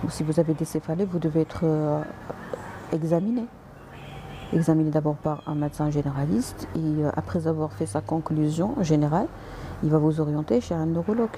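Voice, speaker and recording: Low-voiced woman doctor, live stream. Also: fairly even intonation, brisk, warm tone, slight background noise. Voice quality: mixes French with English and Arabic words. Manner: hesitant, restarts, polite and direct. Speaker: doctor answering live viewers. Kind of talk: live stream reading and answering comments.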